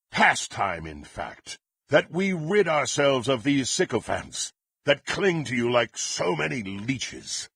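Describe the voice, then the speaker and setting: An actor playing a power-hungry, contemptuous dark warrior in a fantasy video game, with a gravelly, husky voice and haughty, theatrical, archaic phrasing. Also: male voice, on the low side, dry recording, clean studio sound, heavily acted.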